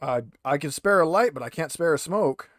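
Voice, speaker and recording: A man's voice: a little high, animated, moderate pace, fairly bright tone, no room sound, studio quality. A man voicing a brusque, guarded colonial marshal in his forties in a tabletop game. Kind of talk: tabletop roleplay character voice.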